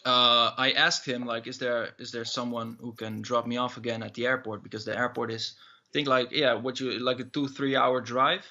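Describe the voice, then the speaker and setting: Hesitant Dutch-accented man, bright tone. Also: mid-range voice, flat delivery, moderate pace, muffled recording. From Netherlands. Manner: hesitant, many fillers and restarts. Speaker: musician guest. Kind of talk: casual interview answers.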